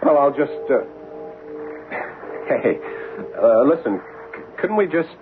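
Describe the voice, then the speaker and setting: An actor playing a shy, earnest young American soldier in an old-time radio drama, hesitant and stammering, with an American accent. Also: male voice, medium pitch, very animated, slow pace, over music. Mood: flustered. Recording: tinny, hissy old radio broadcast.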